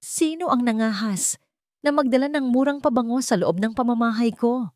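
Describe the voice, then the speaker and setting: A woman's voice: on the high side, moderate pace, a little mellow, dry recording, studio quality, pitched above the performer's own voice, close to an everyday voice. A woman voicing a furious, wealthy old grandmother who gives scornful, commanding orders in a dramatic story.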